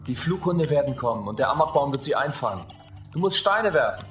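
An actor playing a brash young athlete and gamer in a German radio drama, slangy and impatient. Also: male voice, mid-range voice, sing-song, a little fast, natural voice. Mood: impatient, excited. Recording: roomy, music underneath.

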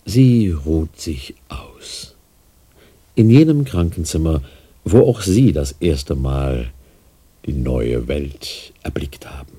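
An actor playing an evasive, authoritative male doctor in a radio play, speaking in a measured, formal way. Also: very deep voice, expressive, warm tone, echoey room, heavily acted. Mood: cool, defensive.

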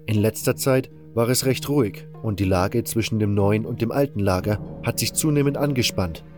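A man playing a serious, cautious comrade who speaks in a measured way in a fantasy audio drama. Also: lowish voice, music in the background, natural voice. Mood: grave, worried. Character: grave, cautious male comrade sizing up danger.

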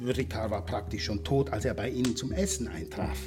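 An actor playing a ruthless, polite businessman villain in a German radio drama, speaking with measured, pompous courtesy that veils a threat. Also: lowish voice, close to an everyday voice. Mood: smugly explanatory. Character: suave ruthless businessman villain, polite, menacing, scientifically zealous. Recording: studio radio drama with music behind.

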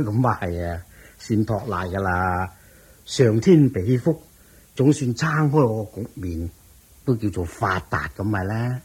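An actor playing a wealthy old merchant and landlord in a Cantonese radio drama, with a drawn-out delivery of chuckles and sighs. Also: male voice, lowish voice, lively intonation, a little mellow, slightly rough, heavily acted. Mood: pleased, proud.

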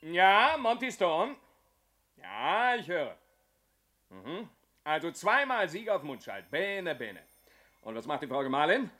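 An actor playing a slick, jovial, mocking bookmaker in a German radio play, with a jocular, chuckling manner. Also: male voice, on the high side, very animated, slow pace, pauses often, sharp tone, heavily acted. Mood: jovial, brash.

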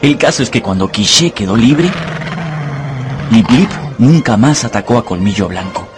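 A man narrating a dramatized radio novel as an expressive, deliberate storyteller. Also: lowish voice, over music.

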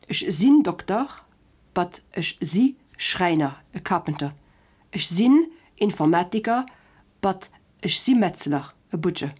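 Slow-paced, husky woman teaching a language. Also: on the low side, animated, darkish tone. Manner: didactic, careful word-by-word delivery of examples. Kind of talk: scripted language lesson, Luxembourgish examples with English glosses.

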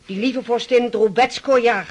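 An actress playing a spiteful, indignant aristocratic niece in a radio drama, speaking haughtily and vehemently in a breathy, husky voice. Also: female voice, on the high side, fairly even intonation, moderate pace. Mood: bitter indignation. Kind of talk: Dutch radio drama acting.

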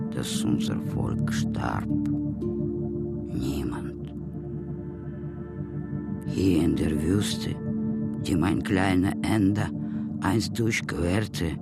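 A deep, gravelly, mournful old warrior. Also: male voice, exaggerated voice. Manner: grave, elegiac storytelling. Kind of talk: radio drama monologue. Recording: loud music bed behind.

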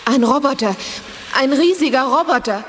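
A woman's voice: high-pitched, normal speed, slight background noise, lightly acted. An actress playing a strained, anxious young female telekinetic in a 1980s radio drama.

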